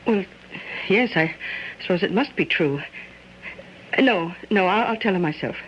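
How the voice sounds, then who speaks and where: A woman's voice: lowish voice, animated, measured pace, fairly bright tone. An actress playing a caring, earnest woman in a radio drama, speaking in a solicitous manner. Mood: distressed. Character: caring, anxious woman looking after an older gentleman. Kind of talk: old-time radio drama acting. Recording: muffled radio recording, faint noise.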